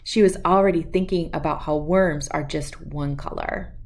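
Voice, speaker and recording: A woman reading a storybook aloud for children as host, expressive and speaking to listeners as friends. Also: low voice, moderate pace, a little hiss or hum.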